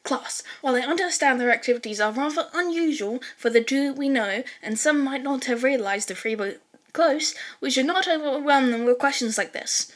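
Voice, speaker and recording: A woman's voice: high-pitched, normal speed, lightly acted. Woman acting rule-minded teen boy, earnest, stern, formal declaiming, story character.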